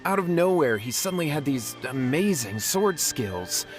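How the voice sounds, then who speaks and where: A man's voice: on the high side, very animated, moderate pace, faint music behind. A man voicing a nervous young man in a video game, stammering and flustered. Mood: confessing. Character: nervous young man, scheming and easily cowed.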